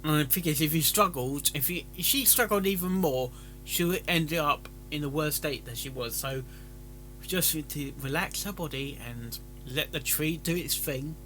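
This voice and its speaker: Bright, halting man, rambling casually. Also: a little high, faint music behind, rough recording. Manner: halting, stammering, repeats words. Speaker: host of a fan fiction reading stream.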